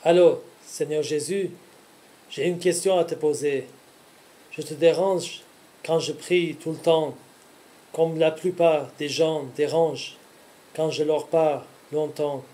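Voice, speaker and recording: A man speaking earnestly in short, deliberate phrases, as a religious host giving scripted devotional teaching. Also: a little high, fairly even intonation, dark tone.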